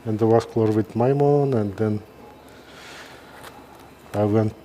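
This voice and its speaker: A middle-aged man with a Kazakh accent gives informal live commentary as a surgeon, halting and pausing mid-sentence. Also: on the low side, dark tone, slight background noise. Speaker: neurosurgeon presenting a live case.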